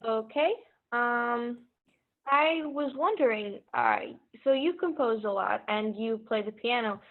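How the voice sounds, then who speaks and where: A woman's voice: on the high side, unhurried. A woman asking a guest a polite, slightly hesitant question. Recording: tinny phone-like call audio.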